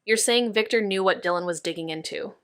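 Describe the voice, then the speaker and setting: A woman's voice: medium pitch, brisk, clear, resonant tone, dry recording, clean studio sound, lightly acted. Understated noir woman investigator played by an actress. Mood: probing. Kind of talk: noir audio drama narration and dialogue.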